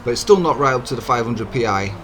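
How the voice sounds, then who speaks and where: A man with a hoarse, croaky voice, giving easygoing, chatty commentary over his own racing game footage as a channel host. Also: medium pitch, lively intonation, fast, over music. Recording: game engine noise behind. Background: racing car engine sounds.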